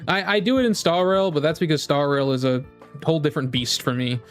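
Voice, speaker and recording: A man's voice: fairly high pitch, fast talker, clear, resonant tone, faint music behind. A man streaming about games in a casual, rambling chat, often repeating his phrases as he talks to viewers. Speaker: game streamer.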